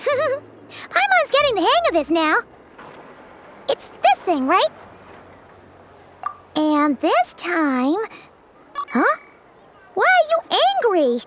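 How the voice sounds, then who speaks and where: Tiny chirpy game sprite girl, extremely high squeaky voice, animated swoops, exclamatory, easily exasperated. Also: takes it slowly, long pauses, background noise, exaggerated voice. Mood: excited, curious. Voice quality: squeaky, childlike. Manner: speaks of herself in third person, exclamatory. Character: small childlike female companion sprite, chirpy and easily exasperated. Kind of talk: animated video game dub acting.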